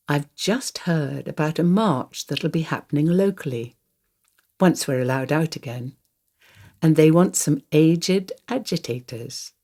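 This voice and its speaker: Older lady acting a wry wistful granny telling her story in a radio play, low voice. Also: a little mellow, exaggerated voice. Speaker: actor reading a monologue. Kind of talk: radio play monologue, first-person storytelling.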